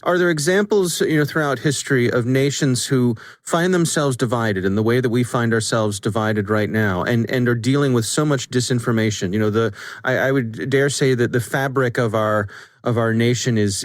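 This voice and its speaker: A man's voice: medium pitch, moderate pace, no echo, clean studio sound. A man with an American accent hosting an interview, putting questions in a thoughtful, carefully phrased way. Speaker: podcast host, interviewer.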